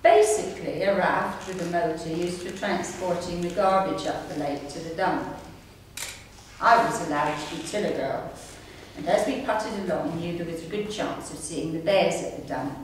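A woman in her sixties reads her written memoir aloud to an audience in a measured storytelling manner. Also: lowish voice, lively intonation. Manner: measured storytelling, reading from pages. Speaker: amateur writer reading her own story. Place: indoor room at a community centre, seated. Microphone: distant camera microphone. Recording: echoey room, distant mic, faint noise.

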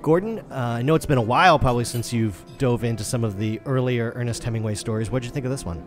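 A man's voice: medium pitch, quick pace, music in the background. A man hosting a podcast, talking conversationally about literature. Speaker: podcast host.

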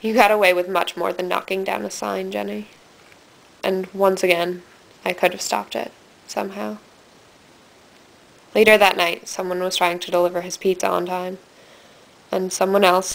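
A woman's voice: mid-range voice, little pitch movement, gravelly, roomy sound, close to an everyday voice. A teenage girl acting as a bitter, sardonic dead girl narrating confession tapes in a student film. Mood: wounded, accusing, then somber. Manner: deliberate, sardonic, dramatic delivery. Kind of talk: scripted dramatic monologue for amateur student film. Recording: hissy, distant amateur room recording.

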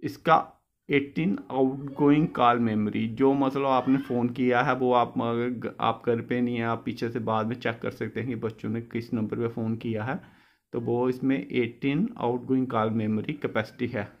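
A man's voice: mid-range voice, level tone, moderate pace, highs cut off. A man giving an informal product review, explaining by repeating and rephrasing.

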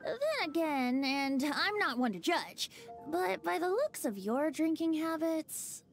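A man's voice: very high-pitched, big pitch swings, slow, gravelly, heavily acted. A performer voicing a playful, carefree young male bard in a video game. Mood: wry. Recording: game audio with soft music.

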